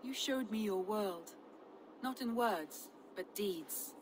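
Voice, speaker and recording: An actress playing an earnest, duty-bound Norse noblewoman in a dramatic game cutscene, speaking in a heartfelt, measured way. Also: mid-range voice, background noise, natural voice. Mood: tender.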